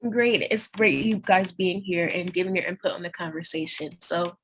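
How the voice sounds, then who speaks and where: A woman's voice: fairly low pitch, normal speed, a bit bright, rough recording. A teenage young woman with an American accent, hosting a student podcast in a casual style.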